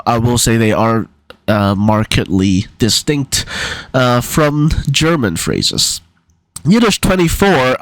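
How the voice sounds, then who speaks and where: A man of middle pitch explains things in a relaxed, unscripted way, pausing often with fillers and restarting his sentences. His voice is recorded close and dry, with no room sound around it. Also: dry recording, poor audio. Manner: hesitant, many fillers and restarts. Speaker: amateur linguist. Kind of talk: casual explainer vlog.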